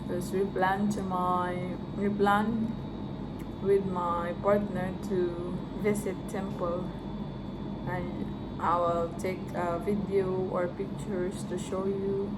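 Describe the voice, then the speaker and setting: A woman's voice: fairly low pitch, level tone, takes it slowly. Chatty Filipino woman vlogging outdoors. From Philippines. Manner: chatty, laughs often, addresses viewers as guys. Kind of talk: casual travel vlog chat. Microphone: phone camera. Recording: street noise behind.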